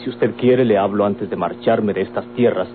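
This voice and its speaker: Male actor as resolute grown son, Mexican accent, emphatic and earnest, lower register, hissy humming vintage radio serial. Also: normal speed, lightly acted. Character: firm, defiant grown son in love. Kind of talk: old-time Mexican radio drama acting. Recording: old tinny radio recording, noisy hum.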